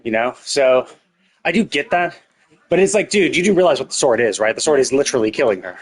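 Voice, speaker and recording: A man's voice: mid-range voice, expressive, fast talker, breathy edge. A man streaming a story game, thinking aloud in a casual, rambling, sarcastic way and swearing freely. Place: indoors, livestream setup. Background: music.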